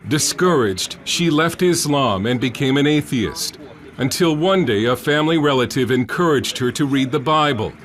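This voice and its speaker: A man's voice: medium pitch, lively intonation, normal speed. A man narrating a scripted news report as a reporter.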